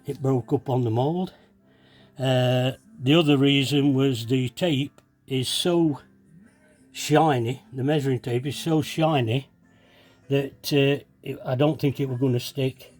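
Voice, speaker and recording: A man's voice: medium pitch, fairly even intonation, slow pace, a little mellow, soft background music. A man giving a casual hands-on DIY tutorial, chatty and self-deprecating as he thinks aloud while working, slightly sniffly with a cold. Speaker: DIY video maker demonstrating fibreglassing.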